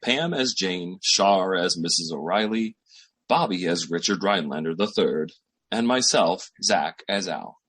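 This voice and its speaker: A man's voice: deep voice, expressive, measured pace, fairly bright tone, breathy edge. A man doing casual introductions and announcing for an old-time radio show. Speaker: announcer and troupe actor.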